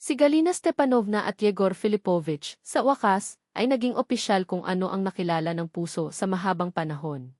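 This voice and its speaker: A woman's voice: medium pitch, a little fast, a little mellow, no echo, clean studio sound. A woman reading a scripted story as its narrator.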